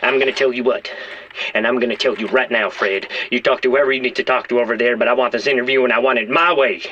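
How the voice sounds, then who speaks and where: An actor playing a volatile, foul-mouthed, aggrieved hostage-taker in an audio drama, ranting and repeating himself. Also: male voice, mid-range voice, steady tone, quick pace, bright, forceful tone, slight background noise, natural voice. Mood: menacing, furious. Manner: ranting, repetitive, profane, abruptly apologetic. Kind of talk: audio drama phone-call acting. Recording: dull, band-limited radio or phone sound.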